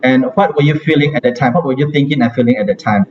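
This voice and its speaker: A man's voice: mid-range voice, fast talker. A man hosting a casual live chat over a video call, talking hesitantly with many fillers and restarts. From Malaysia. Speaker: host. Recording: distant, dull-sounding mic with faint hiss.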